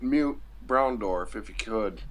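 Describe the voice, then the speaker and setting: A man's voice: lowish voice, measured pace. Man chiming in with short remarks. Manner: short emphatic interjections. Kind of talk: casual voice-chat discussion. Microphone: computer mic in a voice chat.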